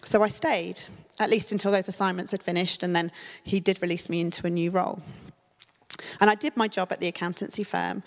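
A woman preaches to her congregation, her voice slightly croaky from a cold. She speaks in a relaxed, conversational way, pausing on little fillers. Her words ring out with a long reverb off the walls of a church hall. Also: fairly low pitch. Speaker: church leader. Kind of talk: sermon.

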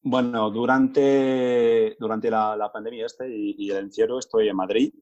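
A man's voice: medium pitch, fairly even intonation, measured pace, a little mellow, lo-fi sound. A young Englishman with a Spain-Spanish accent, a native English speaker, chatting as a guest in an easygoing, rambling way with many restarts. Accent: Spain Spanish, learned as English speaker. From England. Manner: rambling, self-correcting, easygoing. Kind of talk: casual chat.

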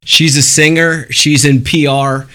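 A middle-aged American man co-hosting a radio interview in an informal, chatty way with halting starts. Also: a little high, level tone, bright, forceful tone. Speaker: radio show co-host. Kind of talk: casual interview chat.

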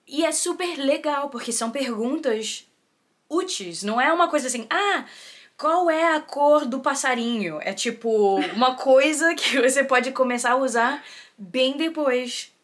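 A woman being interviewed, giving casual advice in a lively, expressive way. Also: high-pitched, unhurried. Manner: lively and expressive, animated at times. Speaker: interview guest.

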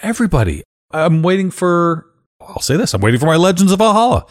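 A man hosting a casual podcast chat, with a deep voice and a joking, blunt way of talking that drops casual swearing. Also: big pitch swings, quick pace. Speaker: podcast host.

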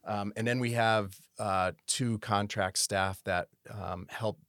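A man in his forties from Massachusetts, a city services manager giving an unhurried, detailed interview with frequent pauses. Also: fairly low pitch, steady tone, a bit bright, no echo, studio quality. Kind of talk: casual interview conversation.

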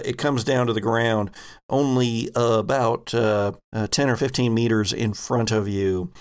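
A man works as game master for a tabletop role-playing game. His delivery is slow and halting, with a creaky edge to the voice and a descriptive narrating style. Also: medium pitch.

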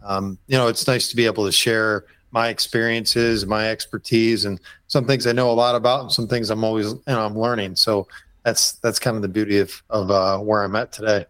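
A man's voice: fairly low pitch, little pitch movement, a little fast, fairly bright tone, dry recording, poor audio. A man guesting on a podcast as a habitat consultant, talking in an easygoing, rambling way. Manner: easygoing, rambling, lots of "you know". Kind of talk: casual podcast interview.

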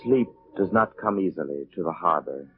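A man's voice: deep voice, expressive. A man acting as the solemn, theatrical host of a 1940s radio mystery show, narrating with grandiose drama. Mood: brooding, atmospheric. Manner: slow, dramatic, grandiose delivery. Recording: dull 1940s radio recording with music.

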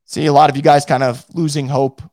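A hoarse man who is losing his voice hosts a casual fan show and reads out the live chat, blunt and profane, with many restarts. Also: on the high side, level tone, fairly quick, darkish tone, no room sound, clean studio sound. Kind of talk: casual post-game rant.

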